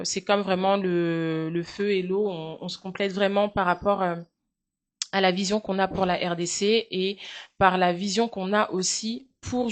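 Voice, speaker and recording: A French woman in her thirties answering interview questions, speaking in a measured, earnest way with frequent hesitant fillers. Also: on the low side. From France. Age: 30s. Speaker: guest, charity founder.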